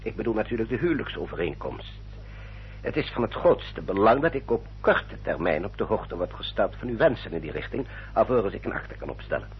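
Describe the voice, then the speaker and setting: An actor playing a courteous English family solicitor in a radio drama, speaking in a formal, measured, explanatory manner. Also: male voice, fairly low pitch, big pitch swings, slightly rough, full character voice. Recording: dull, muffled old radio recording.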